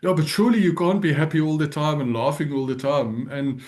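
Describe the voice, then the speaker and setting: A man whose first language is Afrikaans, speaking as an interview guest in a gentle, earnest way and laughing often. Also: on the high side, steady tone, brisk. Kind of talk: reflective interview talk.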